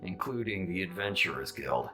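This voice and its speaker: A man's voice: deep voice, very animated, normal speed, pushed and bright, faint music behind, exaggerated voice, poor audio. A man playing an officious, weary police officer in a fantasy video game, speaking in a formal, exasperated, explanatory way. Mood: businesslike. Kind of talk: video game dialogue acting.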